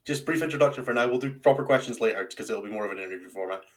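A man's voice: mid-range voice, rapid-fire. A man casually hosting a round table, with a wry, teasing, self-deprecating way of talking. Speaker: podcast host and team captain.

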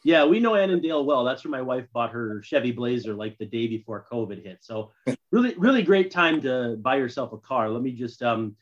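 A man's voice: mid-range voice, big pitch swings, fairly quick, lo-fi sound. A man in his thirties with an American accent hosts a casual sports podcast chat. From Minnesota, USA. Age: 30s. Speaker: podcast host.

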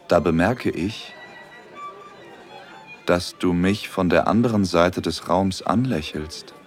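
An actor playing a smitten, romantic young man, tender and intimate, in a first-person audio drama. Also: sits low.

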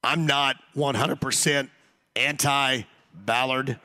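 A man hosting a sports talk radio show, hoarse after losing his voice, talking loosely with many restarts. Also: medium pitch, fairly even intonation, slow pace, sharp tone. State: hoarse, lost voice.

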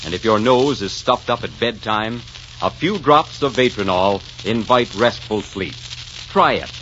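Animated vintage male radio announcer. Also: lowish voice, unhurried. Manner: polished, persuasive, emphatic. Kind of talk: 1940s scripted radio commercial announcing. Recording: noisy, muffled 1940s radio recording.

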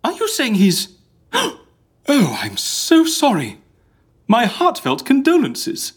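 A man playing a chatty, excitable, easily exasperated office worker with a British accent in an audio comedy. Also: very high-pitched, slow pace, husky, full character voice. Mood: concerned. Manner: fussy, colloquial. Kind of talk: audio comedy drama acting.

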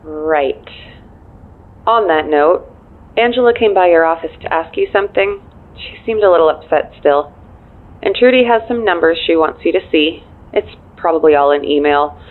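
An actress playing a dryly wry, efficient and loyal assistant in an audio drama, speaking through a phone filter with a deadpan manner. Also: female voice, on the low side, normal speed. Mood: businesslike, concerned. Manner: deadpan, dry. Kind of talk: naturalistic audio drama acting. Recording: thin narrow phone-line sound.

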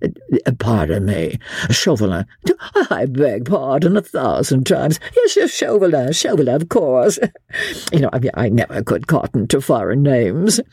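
A woman voicing a foppish, good-humoured English gentleman who plays the fool, dithering and chuckling in an affected drawl, for an audiobook. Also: rumbling low, very animated, a little slow, slightly rough, no room sound, clean studio sound, exaggerated voice. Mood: feigned muddle, jovial. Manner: affected drawl, apologetic chuckling and dithering, old-fashioned exclamations. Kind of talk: dramatised audiobook character voice.

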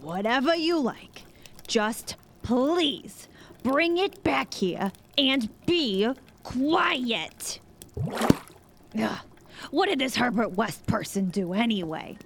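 A woman's voice: on the high side, very animated, extremely slow, gravelly, a little hiss or hum, full character voice. An actress playing a grumpy, impatient woman in an audio drama, with dry, exasperated sarcasm. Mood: sarcastic, impatient.

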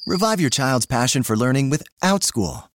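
A man's voice: medium pitch, lively intonation, moderate pace, creaky, no room sound, clean studio sound. A man reading a scripted, upbeat advertisement as an announcer.

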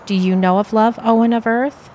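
A woman's voice: fairly high pitch, steady tone, normal speed, warm tone, slight background noise, natural voice. A woman playing an earnest, serene alien envoy in an audio play, with stilted, formal phrasing.

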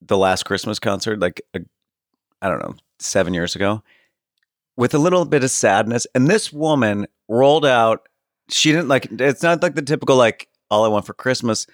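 A man's voice: mid-range voice, animated, normal speed, dry recording. Rambling man co-host, dry close sound. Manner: rambling, filler-heavy storytelling. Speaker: podcast co-host. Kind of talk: comedy podcast banter.

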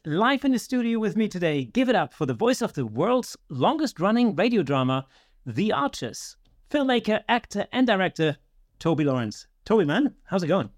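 A man's voice: sits high, no room sound, studio quality. A man hosting a podcast, moving between presenter-style introduction and casual interview questions. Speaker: podcast host.

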